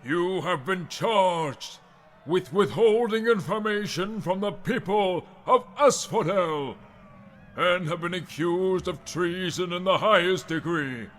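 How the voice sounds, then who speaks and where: A man voicing a stern male official who formally reads out a death sentence, proclaiming loudly over a crowd. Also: high voice, takes it slowly, pitched above the performer's own voice.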